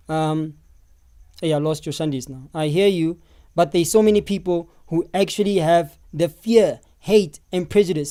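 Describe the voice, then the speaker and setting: Hoarse South African man live streaming. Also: high voice. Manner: animated, talks back to viewers' comments. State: hoarse, losing his voice. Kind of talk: live stream commentary reading chat.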